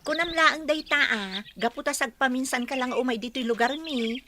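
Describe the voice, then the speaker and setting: A woman's voice: high-pitched, normal speed, noisy background, natural voice. An actress playing a cheerful, joking young village girl in a radio comedy drama, speaking playfully. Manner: playful, teasing.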